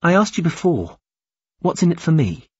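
A man voicing a bitter, defiant young woman, insistent and sharp, in audiobook dialogue. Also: on the high side, sing-song, moderate pace, husky, no echo, dull audio, pitched above the performer's own voice, heavily acted. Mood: demanding.

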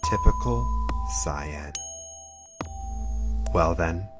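A man playing a smug, scheming villain in an audio drama, gloating coolly in a deliberate drawl. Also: low voice, wildly swooping pitch, heavily acted. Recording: loud music under the voice.

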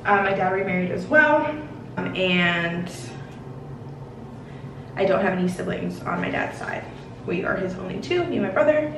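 A woman's voice: mid-range voice, animated, moderate pace, long pauses. A woman of twenty-one chatting casually and rambling with many fillers while she gets ready in a bathroom, on a camera a few feet away. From Washington, D.C. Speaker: beauty vlogger. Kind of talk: casual get-ready-with-me chat. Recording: roomy bathroom echo, mic at a distance, noisy.

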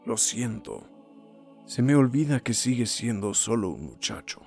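A man's voice: mid-range voice, sing-song, vocal fry, a little mellow, faint music behind. Man voicing a solemn heroic anime mentor. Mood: remorseful. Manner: solemn, measured, emphatic. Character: earnest heroic older male mentor and teacher. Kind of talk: voice acting demo of anime dub monologue.

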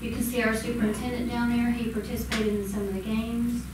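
Woman reporting at a board meeting, flat. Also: a little high, quick pace. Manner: conversational, with many ums. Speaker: school department presenter. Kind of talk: informal report at a public meeting. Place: school board meeting room. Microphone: distant room mic, standing speaker. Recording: echoey room from far off, noisy.

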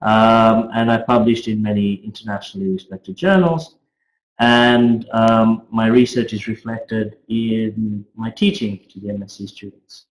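A middle-aged man gives an informal academic presentation as a finance professor, speaking haltingly with frequent pauses. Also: on the low side, fairly even intonation. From Australia. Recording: roomy, echoey webcam audio.

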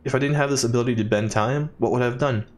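A man's voice: mid-range voice, very fast. A man doing a let's play, reading in-game journal text aloud expressively and dropping in jokey asides. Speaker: let's player.

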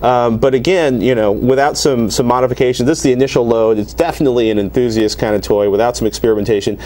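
A man's voice: mid-range voice, steady tone, fast talker, warm tone. An American man around forty hosting a tech show, casually demonstrating a product in a rambling, conversational way. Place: small TV studio at a desk. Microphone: lapel mic.

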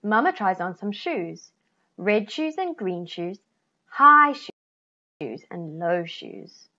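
A middle-aged woman reading a children's picture book aloud, expressively, with deliberate phrasing and stressed key words. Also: medium pitch, big pitch swings, sharp tone. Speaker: children's story reader. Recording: slightly dull, lo-fi computer recording.

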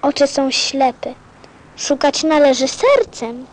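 A woman playing a gentle, earnest little boy prince in a radio play, with a simple, solemn, childlike manner. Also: high-pitched, animated, takes it slowly, low-quality recording. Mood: solemn, wistful. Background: music.

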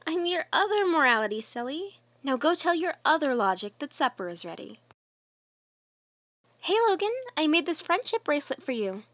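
A woman voicing a goofy, sweetly silly fatherly young man in an amateur comic dub, playful and gentle. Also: high-pitched, very animated, normal speed, no room sound. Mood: cheerful.

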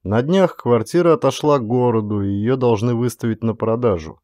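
Narrator as a mellow, protective, compassionate man. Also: mid-range voice, brisk, dry recording, studio quality, close to an everyday voice. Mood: practical, helpful.

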